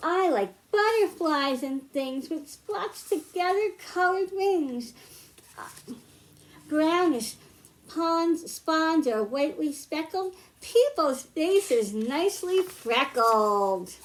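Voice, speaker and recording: American female children's librarian, high register, slow delivery, child-directed read-aloud and simple teaching. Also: expressive. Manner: simple, child-directed phrasing with questions to listeners. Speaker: children's librarian hosting storytime.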